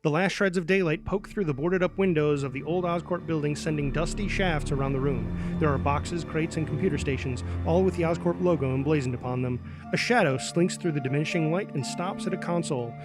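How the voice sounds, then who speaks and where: Higher-pitched man narrating over loud music. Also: fairly quick. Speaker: narrator reading stage directions. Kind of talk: dramatic scripted narration. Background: prominent music.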